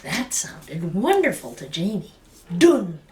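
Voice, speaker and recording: A woman storyteller reading a picture book aloud with expressive, dramatic and emphatic phrasing. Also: mid-range voice, measured pace, a little hiss or hum.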